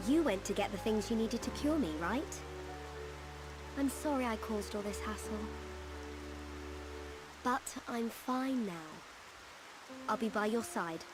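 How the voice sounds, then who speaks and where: Young British heroine voiced by a woman, loyal and determined, firm sincere delivery, clear game music behind. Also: mid-range voice, lightly acted. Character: devoted, determined young female fighter. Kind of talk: video game cutscene acting.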